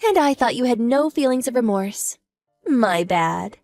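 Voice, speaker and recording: A woman playing a cold, scheming wife who mocks her husband's lover in a slow, sneering way. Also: a little high, lively intonation, darkish tone, faint music behind. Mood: mocking. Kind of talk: audio drama acting.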